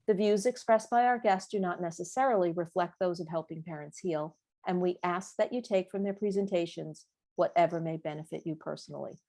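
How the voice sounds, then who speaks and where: A woman host opens the session with a measured, scripted disclaimer. Her voice sits on the low side, then she warmly welcomes her guests.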